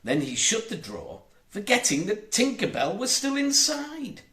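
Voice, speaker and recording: A man's voice: sits high, huge pitch swings, moderate pace, bright, forceful tone, echoey room. A man reading a children's storybook aloud as an audiobook narrator, expressively emphasizing his words. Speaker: audiobook reader.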